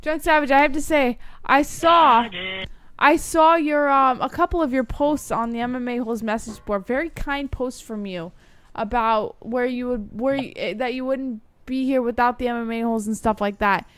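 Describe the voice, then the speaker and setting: High, clear-voiced woman chatting candidly. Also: normal speed, a bit bright. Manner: blunt, sweary, earnest. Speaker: host of a call-in show. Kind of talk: casual, candid chat.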